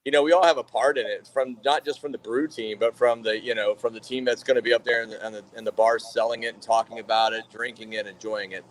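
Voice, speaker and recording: A man's voice: medium pitch, steady tone, fast talker, few pauses, rough recording. Easygoing rambling brewer telling his story. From Florida. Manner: rambling storyteller with frequent fillers and restarts. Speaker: brewery general manager and brewer. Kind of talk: casual podcast interview.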